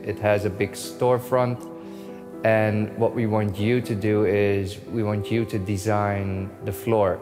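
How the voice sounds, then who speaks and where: A man's voice: on the low side, flat delivery, slow pace, music in the background. A man from the Netherlands walking through his new shop, telling its story with enthusiasm and acting out his phone calls with the artists. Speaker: store owner giving a tour. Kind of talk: casual walkthrough narration. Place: inside a large shop.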